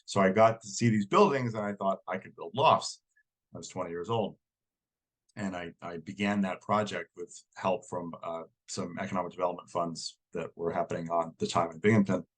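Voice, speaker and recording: A man in his forties from New York, slightly croaky from a cold, giving a talk over a video call with frequent restarts. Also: deep voice, moderate pace. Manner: hesitant, many restarts. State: hoarse from a cold. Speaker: guest speaker. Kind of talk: casual presentation.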